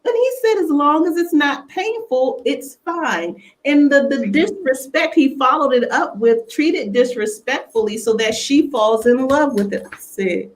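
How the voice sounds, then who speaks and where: Female talk host, slightly high voice, playful and unfiltered, slangy, loose conversational delivery. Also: animated, a little slow, hardly any pauses, rough recording. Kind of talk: casual, bawdy radio chat.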